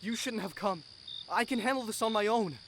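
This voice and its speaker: A man's voice: high-pitched, fairly quick, noisy background. A man playing a young, uneasy crew member in an audio drama, earnest, nervous and defensive. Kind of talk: scripted audio drama acting.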